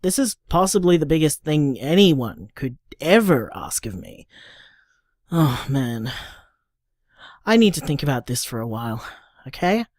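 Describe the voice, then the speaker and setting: A woman's voice: low-pitched, big pitch swings, a little slow, pauses often, darkish tone, no room sound, clean studio sound, heavily acted. A woman playing a caring, stubborn, sweary partner in an audio drama, talking in an emphatic, coaxing, informal way. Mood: stunned. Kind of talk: naturalistic audio drama monologue.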